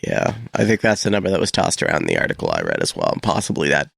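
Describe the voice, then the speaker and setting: A man's voice: a bass voice, huge pitch swings, very fast, gravelly, fairly bright tone, dry recording, clean studio sound. A man co-hosting a local radio show, chatting casually and explaining things in informal but technical terms. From Canada.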